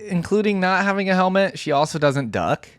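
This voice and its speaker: A woman's voice: lowish voice, expressive, fairly quick, clean tone, dry recording. A woman hosting a reaction video, giving casual, chatty commentary and musing as she goes.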